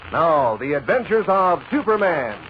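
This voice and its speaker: A man's voice: a little high, sing-song, unhurried. A man reading a folksy, chummy 1940s radio commercial with enthusiasm. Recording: muffled vintage radio, faint noise.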